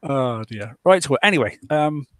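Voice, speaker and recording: A man talking loosely in a relaxed group discussion. He rambles, circles back and stacks up fillers and restarts. His voice sits at an ordinary male pitch on a dry, close microphone. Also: normal speed, no room sound. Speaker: podcast host.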